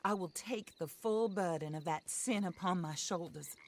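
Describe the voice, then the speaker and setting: Woman acting a low-voiced, genteel vengeful widow. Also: lightly acted. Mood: grave, resolute. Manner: genteel, formal and deliberate. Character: composed, vengeful wealthy widow in a western. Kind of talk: video game cutscene acting.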